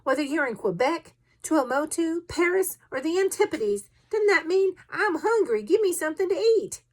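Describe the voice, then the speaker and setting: Woman playing an irascible, indignant harpooner, pitch leaping. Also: lively intonation, moderate pace, a bit bright. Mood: exasperated, hungry. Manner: indignant, complaining, emphatic. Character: hot-tempered, irascible Canadian male harpooner, indignant and blunt.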